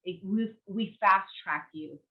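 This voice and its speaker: A woman's voice: mid-range voice, takes it slowly. Chatty Venezuelan-born woman guest, muffled. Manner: warm, chatty, with hesitant fillers. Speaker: guest, actress and producer. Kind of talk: interview conversation.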